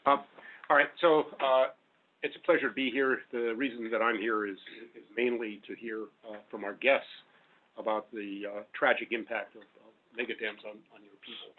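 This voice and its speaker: A middle-aged man giving a panel talk as a professor, loud-voiced and hesitant, full of fillers. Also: medium pitch, steady tone, moderate pace. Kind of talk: public talk with slides. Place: meeting room. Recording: phone-like narrow audio.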